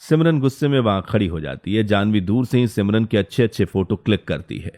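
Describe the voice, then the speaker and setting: A man's voice: lowish voice, lively intonation, a little fast, a little mellow. A man narrating a scripted story as its storyteller.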